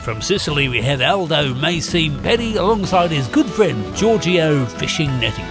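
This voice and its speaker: A man playing an excitable sports commentator in a parody race call, reeling off rider names theatrically. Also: a little high, moderate pace, music in the background. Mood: building, eager.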